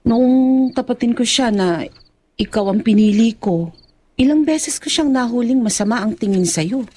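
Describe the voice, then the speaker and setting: An actress playing a devoted, protective young sweetheart in a radio drama, earnest and gently chiding. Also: female voice, a little high, lively intonation, moderate pace. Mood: worried.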